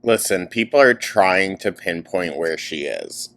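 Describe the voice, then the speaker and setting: Male reaction host, slangy and incredulous, unhurried delivery with big pitch swings. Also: expressive, a bit bright. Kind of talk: casual mocking commentary on a video.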